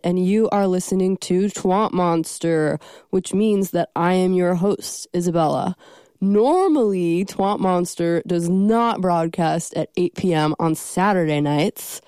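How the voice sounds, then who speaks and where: Young woman, college radio host, stuffy from a cold, rambling chat, smooth clear voice, dry studio sound. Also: on the low side, unhurried, warm tone, dry recording. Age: young adult. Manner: rambling, self-deprecating, many ums.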